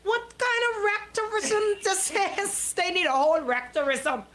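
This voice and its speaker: A woman's voice: extremely high pitch, very animated, normal speed, fairly bright tone. A woman hosting casual radio banter in a playful, incredulous, exclamatory way. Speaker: radio host.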